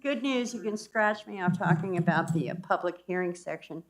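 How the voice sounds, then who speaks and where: A woman giving deliberate, pointed public comment at a village meeting. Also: on the low side.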